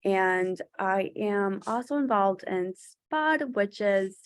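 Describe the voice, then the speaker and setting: A woman's voice: medium pitch, expressive, slow. A young woman from Thailand, a student panelist on a webinar, talking earnestly with many fillers and restarts. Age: young adult. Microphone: video call.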